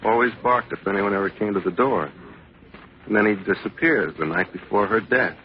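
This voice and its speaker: An actor playing a soft-voiced, mildly polite but insinuating police detective in a 1940s radio suspense drama. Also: male voice, on the low side, close to an everyday voice. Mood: bland, insinuating. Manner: mild, insinuating, unhurried politeness. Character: bland, needling, soft-spoken heavyset male police detective. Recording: muffled, noisy vintage radio broadcast.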